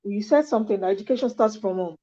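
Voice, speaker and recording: A woman's voice: mid-range voice, fast talker, warm tone. A woman hosting an interview, speaking in a conversational way and correcting herself as she goes. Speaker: interviewer host. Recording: dull lo-fi sound.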